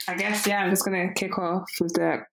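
A woman hosts a podcast in a friendly, upbeat way. Her voice sits low with a gravelly fry. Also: flat delivery, brisk, over music, low-quality recording. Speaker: podcast host. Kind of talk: casual interview chat.

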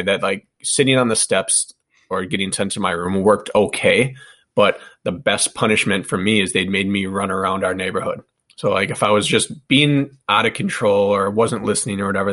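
A relaxed man in his thirties with a slightly low voice, from the American Midwest. He co-hosts a podcast in easy, chatty talk. He laughs often and drifts into anecdotes. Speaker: podcast co-host, running coach. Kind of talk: casual podcast chat.

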